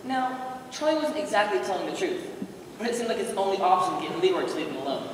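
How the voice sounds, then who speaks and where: A woman's voice: medium pitch, very animated, fast, lightly acted. A woman narrating a children's Christmas skit as a storyteller in a readers theater show. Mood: storytelling. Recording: echoey hall, far from mic, faint noise.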